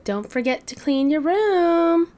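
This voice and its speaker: A woman's voice: very high-pitched, lively intonation, slow, darkish tone, pitched above the performer's own voice, heavily acted. A woman voicing a firm, tidy-minded mother dinosaur in a storybook, calling out instructions. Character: firm mother dinosaur who likes tidiness.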